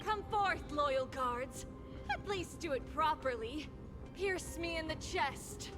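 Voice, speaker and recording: A bold fantasy game maiden, imperious and challenging. She speaks high and deliberately slow over music. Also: animated. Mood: defiant. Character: bold, commanding holy maiden of a fantasy city. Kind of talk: video game voice acting.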